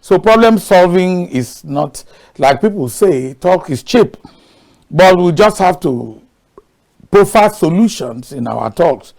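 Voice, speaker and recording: A man's voice: sits high, expressive, slow, creaky, a little mellow. A man with a Nigerian accent, speaking as a guest on a talk show in an emphatic, repetitive way with folksy phrasing.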